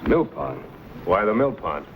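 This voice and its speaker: An actor playing a taunting frontier bully spoiling for a fight in an old-time radio western. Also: male voice, lowish voice, sing-song, unhurried. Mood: cocky, wary. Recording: muffled, noisy old radio recording.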